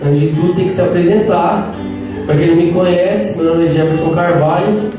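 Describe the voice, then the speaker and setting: A Brazilian lay preacher in his thirties delivers a lively sermon, joking with the crowd and calling out for their response. His voice sits high and comes from a distance. A big echo rings through the hall, with music playing behind him. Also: normal speed. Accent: Brazilian Portuguese. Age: 30s. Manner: lively, playful, calls out to the crowd. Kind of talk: live charismatic sermon. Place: large echoey prayer-group hall, live event.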